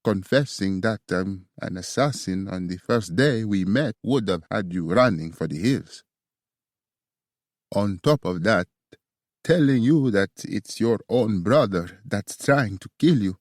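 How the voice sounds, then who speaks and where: A man reads a guarded young male assassin confessing to his lover, low-pitched and unhurried, with an Italian accent. The voice stays intimate and earnest, recorded dry and close with no room sound. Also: lively intonation, no room sound. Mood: remorseful.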